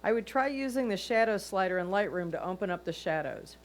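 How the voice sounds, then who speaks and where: A woman's voice: expressive, fairly quick. A woman reading a judge's written comments aloud as a critique. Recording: noisy line with low hum.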